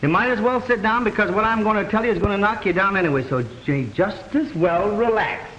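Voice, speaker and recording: An actor playing a brash, wisecracking, exasperated police detective in the style of an old mystery film. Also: male voice, high voice, a little fast, fairly bright tone, highs cut off. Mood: urgent, explaining. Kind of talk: 1930s-40s mystery film acting. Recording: noisy vintage mystery film soundtrack.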